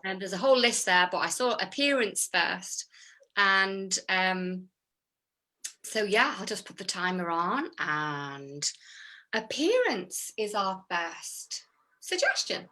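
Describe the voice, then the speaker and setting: A woman's voice: mid-range voice, sing-song, slow, bright, forceful tone. A woman hosting an improv scene, chatty and giggly with lots of fillers. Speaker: improv performer and host. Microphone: video call.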